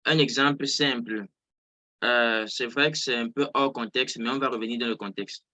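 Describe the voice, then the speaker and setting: Bright-voiced man teaching live online. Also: mid-range voice, steady tone, moderate pace, no echo. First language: not French. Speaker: trading instructor. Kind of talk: informal live online lesson, explaining. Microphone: close mic on an online video call.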